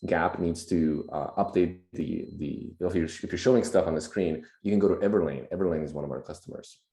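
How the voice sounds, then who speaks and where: A man with a slightly raspy voice from a recent cold, explaining things plainly and casually as a podcast guest. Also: low voice, a little fast, warm tone. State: just getting over a cold. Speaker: podcast guest, tech executive. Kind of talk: casual explanatory tech talk. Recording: roomy, echoey sound.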